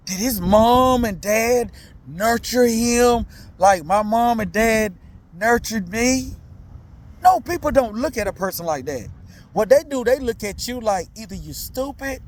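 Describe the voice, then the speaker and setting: A father in his thirties or older, telling his own story in candid, slangy talk, repeating himself and asking listeners if they follow. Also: sits high. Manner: animated, repetitive, slangy storytelling with many asides to listeners.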